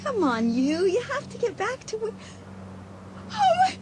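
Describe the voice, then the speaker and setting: A woman playing an excitable, anxious teenage girl in a dramatised audiobook, with expressive, exclamatory delivery. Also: extremely high pitch, unhurried, background noise, heavily acted. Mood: alarmed. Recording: prominent music and sound effects behind.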